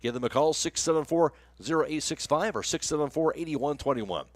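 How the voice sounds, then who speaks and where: A man's voice: a little high, brisk, dry recording. A man with an American accent doing live radio play-by-play commentary of a baseball game as the announcer.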